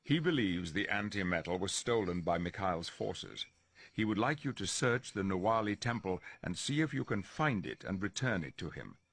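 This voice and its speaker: A man playing a composed, authoritative professor, briefing his team in a measured, formal way in a video game. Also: deep voice, big pitch swings, fairly bright tone, slightly rough, no room sound, heavily acted. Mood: grave. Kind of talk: video game cutscene acting.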